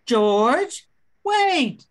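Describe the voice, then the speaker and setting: A woman's voice: high voice, sing-song, extremely slow, heavily acted. An older woman playing an anxious, desperate widow in an amateur radio drama. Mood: urgent.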